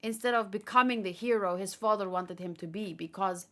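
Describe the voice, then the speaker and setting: A woman's voice: mid-range voice, a little fast. A woman hosting a livestream, chatting casually and thinking aloud in a rambling way, tired from a long session. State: tired after hours of streaming. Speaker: livestream host. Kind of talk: casual chat, fan theorizing to live viewers.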